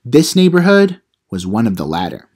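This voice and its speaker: A man's voice: mid-range voice, huge pitch swings, moderate pace, a little mellow, slightly rough. A man playing a cheeky, self-important teenage vlogger who gives mock how-to advice in an audio comedy. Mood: storytelling. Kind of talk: comedic how-to vlog monologue.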